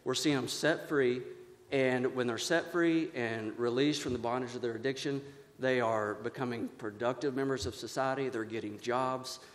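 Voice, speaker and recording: A man's voice: medium pitch, steady tone, normal speed. An American man giving earnest personal testimony as a guest at a public roundtable. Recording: echoey hall.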